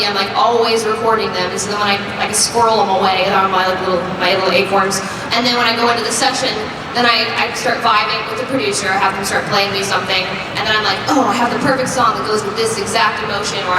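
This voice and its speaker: An upbeat young woman talks in an easy, chatty way, sprinkling her answers with "like." She sounds distant from the mic, in a big echoing hall. Loud ambient noise fills the space behind her. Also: medium pitch. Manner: upbeat and chatty, many "like" fillers, laughs often. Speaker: musician interviewee. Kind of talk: casual interview answers. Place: busy convention floor. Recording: echoey convention hall from far off, loud crowd noise.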